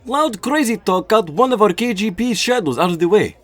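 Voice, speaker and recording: A man's voice: high-pitched, lively intonation, a little fast, a bit bright, faint music behind. A man playing a sly, fun-loving Soviet scientist in a comic dub. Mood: excited.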